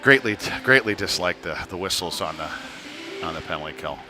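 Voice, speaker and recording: A man doing live hockey play-by-play commentary in a halting delivery with mid-sentence pauses. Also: sits low, lively intonation, over music. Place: indoor ice rink during a game. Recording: noisy rink sound behind the voice. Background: clear venue noise.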